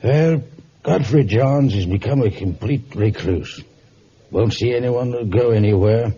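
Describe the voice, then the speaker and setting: A man playing a measured, reflective, gentlemanly judge in a vintage radio drama. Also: mid-range voice, natural voice. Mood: somber, reluctant. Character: genial, measured male judge with somber reticence. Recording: muffled, hissy old radio recording.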